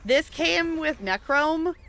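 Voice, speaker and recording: A woman's voice: sits high, expressive, slow, fairly bright tone. A woman streaming a game, talking casually and rambling with lots of fillers and small laughs. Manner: rambling, chatty, frequent "like" and laughs. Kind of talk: casual gaming stream chat.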